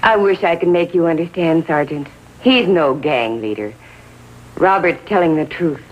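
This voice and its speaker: A woman's voice: low voice, animated, moderate pace. An actress playing a doting, trusting mother in 1950s TV drama style, polite and earnest. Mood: earnest, insistent. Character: doting, trusting mother of a teenage gang leader. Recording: dull hissy 1950s TV soundtrack.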